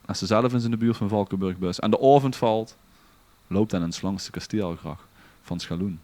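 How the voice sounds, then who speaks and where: A man reading a legend aloud in a relaxed, theatrical storytelling manner. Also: on the low side, moderate pace, no echo. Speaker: podcast host. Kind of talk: dramatic read-aloud storytelling.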